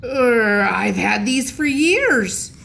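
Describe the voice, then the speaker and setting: A woman's voice: a little high, very animated, drawn-out words, vocal fry, fairly bright tone, pitched above the performer's own voice, heavily acted. Woman acting grave police officer, storybook, formal, earnest, faintly noisy recording. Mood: flustered.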